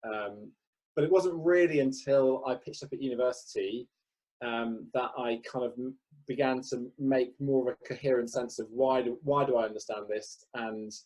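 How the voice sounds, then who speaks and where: A man's voice: medium pitch, a little mellow, low-quality recording. A man in his forties, a vicar, talks through his life story in an easy interview. He hesitates often, fills gaps with ums and restarts his sentences as he gathers his thoughts. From Wales. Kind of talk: relaxed interview answers.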